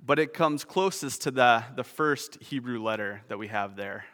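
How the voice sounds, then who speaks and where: Male pastor, mid-pitch, sermon delivery with self-mocking humor, church hall echo. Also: moderate pace, a bit bright. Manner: self-deprecating, nerdy asides.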